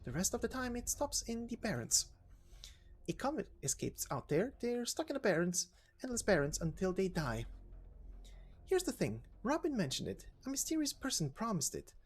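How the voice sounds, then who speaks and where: A man's voice: sits high, huge pitch swings, normal speed, husky, full character voice. A man voicing a chatty, breezy, teasing informant in a game story reading, speaking casually with rhetorical questions. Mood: breezy, explanatory.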